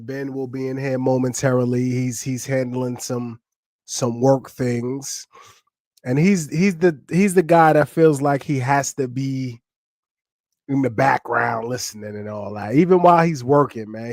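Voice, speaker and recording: A man's voice: medium pitch, a little mellow, no echo, studio quality. A man hosting a live podcast in laid-back, slangy casual chat, sounding nasal and congested from a sinus infection. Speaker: podcast host.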